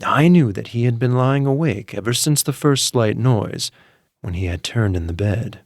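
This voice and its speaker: A man narrating a dark story in a dramatic, slow reading, dropping to hushed murmurs and rising to sudden intense outbursts. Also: medium pitch, expressive, dry recording, studio quality. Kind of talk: dramatic audiobook reading for sleep.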